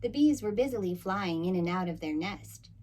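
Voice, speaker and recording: Animated woman reading a children's story. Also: on the low side, moderate pace. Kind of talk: expressive picture-book read-aloud.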